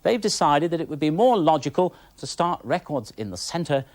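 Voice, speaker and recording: A man's voice: fairly high pitch, sing-song, normal speed, a little mellow. A man speaking as an expert presenter, giving enthusiastic, emphatic conversational commentary. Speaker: presenter, recorded music expert. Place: television studio.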